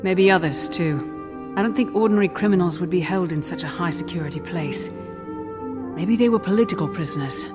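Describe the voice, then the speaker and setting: No-nonsense female fantasy game hero acted by a woman, lowish voice, sober and terse, music underneath. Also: a little fast, big-room sound, lightly acted. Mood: investigative. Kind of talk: scripted video game dialogue.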